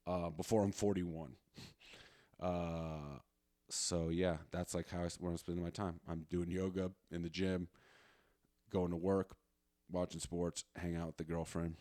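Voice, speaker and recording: A man's voice: deep voice, fairly even intonation, normal speed, warm tone, no echo, studio quality. A man in his late thirties hosting a solo podcast, chatting in a casual, rambling, slangy way with plenty of swearing. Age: late 30s. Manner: profane, slangy, informal. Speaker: podcast host.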